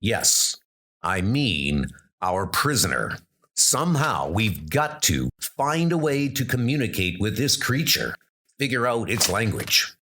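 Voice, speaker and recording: A man playing a resolute ex-soldier who leads armored knights, speaking in a measured, commanding manner for a comic-book audio drama. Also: lowish voice, big pitch swings, pushed and bright, full character voice. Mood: measured, grave. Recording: music under the voice.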